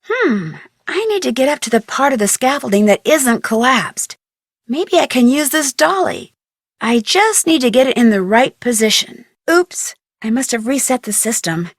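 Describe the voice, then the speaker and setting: A young American amateur detective for an adventure game. She is inquisitive and chirpy, with a high, lively voice that rises and falls as she puzzles things out loud. The sound is close and dry. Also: normal speed, no room sound. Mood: musing. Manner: clearly enunciated, inquisitive musing to herself. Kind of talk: scripted adventure game character lines, thinking aloud.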